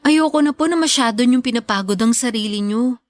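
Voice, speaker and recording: A woman's voice: on the high side, a little fast, close to an everyday voice. A woman acting a devoted young student daughter in a Filipino radio drama, respectful and earnest. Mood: earnest, insistent. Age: young adult. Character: devoted, hardworking young woman student, reassuring her mother.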